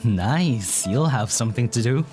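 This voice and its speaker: A woman's voice: rumbling low, expressive, a little slow, dark tone, soft background music. A woman acting in an audio drama as a casual, easily flustered young traveler with an offhand, informal way of talking.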